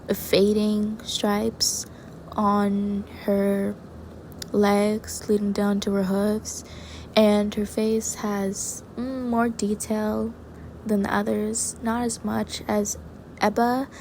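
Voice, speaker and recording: A woman giving casual, rambling commentary while she draws, soft and quiet, self-deprecating and prone to hesitant restarts. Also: mid-range voice, level tone, slow pace, slight background noise. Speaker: digital artist and channel creator. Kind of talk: casual commentary over a speedpaint.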